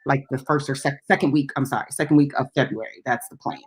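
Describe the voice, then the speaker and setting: A woman's voice: a bass voice, fairly even intonation, fast talker, slightly rough. A woman who hosts radio, talking in a casual, easygoing, conversational way on a music talk show. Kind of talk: casual, unscripted chat.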